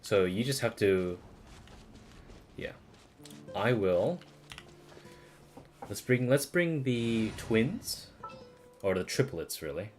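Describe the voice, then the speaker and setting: A man's voice: on the low side, animated, takes it slowly, pauses often, darkish tone, soft background music. A man streaming a video game with casual, slangy, sweary reactions and muttered asides. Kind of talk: casual reacting commentary over gameplay.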